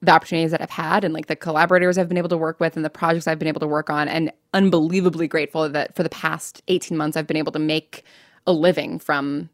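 A woman's voice: sits low, flat delivery, fast talker, no echo, studio quality. An American woman speaking candidly as an interview guest, in a chatty, informal way with lots of "like" and self-interruptions. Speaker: interview guest, fiction podcast writer and producer.

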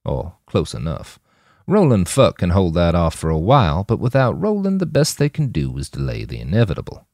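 Man playing a deep, brash, cocky mercenary. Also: wildly swooping pitch, normal speed, a little mellow, no echo, clean studio sound, exaggerated voice. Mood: cocky, blunt. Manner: taunting, cocky, blunt. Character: brash, foul-mouthed posthuman male mercenary leader.

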